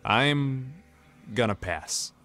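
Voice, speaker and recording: A man's voice: medium pitch, expressive, drawn-out words, pushed and bright, exaggerated voice. An actor playing a cocky, exasperated male smuggler captain in a comic dub. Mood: cool, negotiating.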